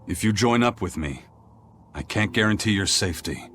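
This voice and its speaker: Game casting: grim, measured pirate man, notably deep, ominous and fatalistic. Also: animated, fairly bright tone, slight background noise. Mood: grave warning. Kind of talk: English video game voice acting.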